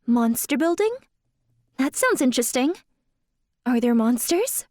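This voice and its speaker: A woman plays a polite young dancer with magical gifts in an audio drama. Her high voice is sincere and careful, and the recording is close and dry. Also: sing-song, unhurried, darkish tone, dry recording. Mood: sincere, curious.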